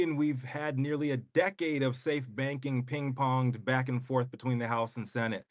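A man of middle pitch presenting a news segment in a casual, joking way, dropping slang between facts. The recording is dry and close. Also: no room sound. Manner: reads the story with wry asides and slang.

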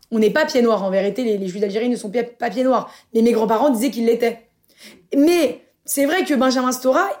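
A woman's voice: fairly high pitch, dark tone. A woman, a guest in a casual round-table chat, talking in a casual, slangy way and restarting her sentences often. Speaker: podcast guest.

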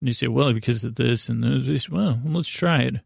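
A man hosting a sleep podcast in a creaky, soothing voice, meandering and halting through a lulling bedtime monologue full of digressions. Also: mid-range voice, no room sound, studio quality. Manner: meandering, halting, over-talking with many digressions. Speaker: podcast host.

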